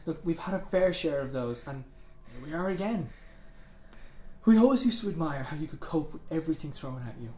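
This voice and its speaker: A man acting in a naturalistic radio drama as a worried, loyal young friend who stays steady in a crisis. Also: a little high, fairly quick, slight background noise, lightly acted. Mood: tender, pleading.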